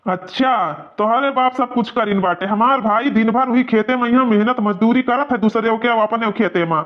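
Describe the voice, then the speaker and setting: A man's voice: extremely high pitch, moderate pace. A man playing an aggrieved young village farmer in an Awadhi cartoon comedy, with an Awadhi accent and a whining, argumentative manner. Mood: angry, quarrelsome. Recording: dull, lo-fi cartoon audio.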